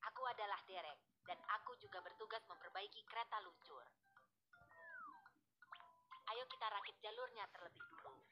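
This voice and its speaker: A woman's voice: mid-range voice, moderate pace, pauses often, muffled recording, background noise, close to an everyday voice. Cheerful cartoon crane truck, voiced by a woman. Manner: upbeat, instructive. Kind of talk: cartoon game dubbing. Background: background game music.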